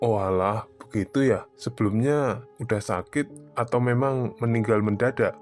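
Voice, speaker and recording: A man voicing a kindly, understanding boss in an animated drama. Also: medium pitch, moderate pace, faint music behind, natural voice. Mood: concerned. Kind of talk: animated cartoon drama dialogue.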